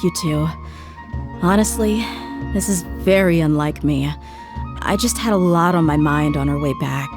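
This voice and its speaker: An actress playing a tough young mercenary woman grieving her father, speaking in an earnest, reflective way. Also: on the low side. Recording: game audio with music.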